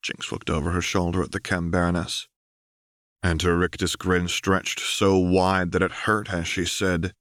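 Deep-voiced man narrating fiction. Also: little pitch movement, a little slow, a bit bright, no room sound, studio quality. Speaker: audiobook narrator. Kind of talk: dramatic scripted fiction narration.

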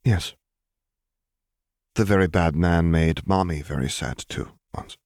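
Audiobook mother, played by a man: dangerous, controlled, measured delivery with simmering edge. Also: low voice, darkish tone, dry recording, clean studio sound. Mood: solemn, measured. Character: stern, simmering young mother, dangerous and caustic.

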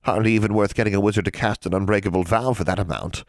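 A man voicing a sly old female hag who lends money, teasing and cackling with drawn-out words. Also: on the low side, steady tone, no room sound, clean studio sound, voice pushed up, lightly acted. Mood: mocking.

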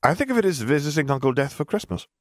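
A man's voice: fairly high pitch, very animated, fast talker, no echo, studio quality, voice pushed up. A man voicing a chatty, blunt, oddly morbid little girl in an audiobook. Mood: puzzled, blunt. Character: odd, matter-of-fact, blithely morbid little girl. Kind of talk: audiobook character voice.

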